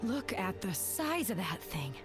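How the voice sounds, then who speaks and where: A woman's voice: medium pitch, sing-song, measured pace. A woman voicing an earnest, thoughtful young female space crew member in a video game. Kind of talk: video game cutscene acting. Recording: game cutscene with loud music behind.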